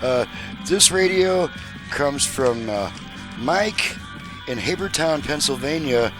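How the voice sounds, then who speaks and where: A man giving a casual, halting demonstration as a repairer, thinking aloud as he goes. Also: on the high side, lively intonation, over music. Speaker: radio repairer demonstrating his work.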